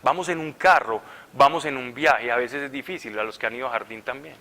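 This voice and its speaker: Forceful man preaching in an echoey hall. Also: medium pitch, brisk, slight background noise. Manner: animated, conversational, laughing at his own stories. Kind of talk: sermon with storytelling. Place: large hall at a retreat.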